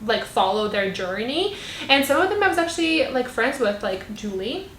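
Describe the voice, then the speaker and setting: A woman's voice: a little high, very animated, moderate pace, a bit bright. A woman in her late twenties co-hosting a podcast in casual chat, full of likes and you knows. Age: 20s. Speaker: podcast co-host. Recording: roomy echo, faint background hum.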